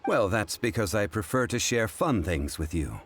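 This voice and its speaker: A composed, learned gentleman speaks in a very deep voice, formal and measured with a lively lilt. Game music plays under him. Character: learned, composed man, funeral parlor consultant. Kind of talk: game voice acting.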